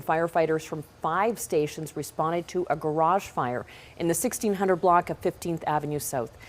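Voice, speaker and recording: Casting: female news anchor, Canadian accent, low-pitched voice, scripted bulletin delivery.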